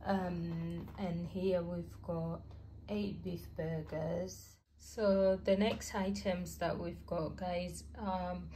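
A woman, a mother, chatting casually to camera about her groceries, unhurried and full of ums and uhs. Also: lowish voice, steady tone, mellow, a little hiss or hum. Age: adult mother. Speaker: vlogger showing a grocery haul. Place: home, at a table with groceries.

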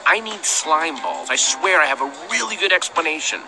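A man's voice: fairly high pitch, sing-song, moderate pace. An actor playing an earnest, pleading young man, the scrappy hero of an adventure game, talking casually. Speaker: game protagonist. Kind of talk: animated game dialogue. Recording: game music behind the voice.

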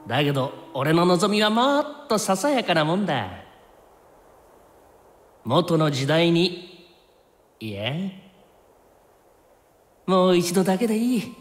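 An actor playing an easygoing, soft-hearted young fighter in an anime game, casual in speech yet earnest. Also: male voice, high-pitched, big pitch swings, slow, long pauses, exaggerated voice. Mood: earnest, wistful. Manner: casual, breezy talk with an earnest core. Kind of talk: anime game cutscene acting. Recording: long reverb, music behind.

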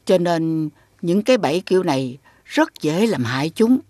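Low-voiced, kindly old grandmother. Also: sing-song, normal speed, no echo. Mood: concerned, advising. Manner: gentle, instructive. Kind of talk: children's animated cartoon acting. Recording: music behind the voice.

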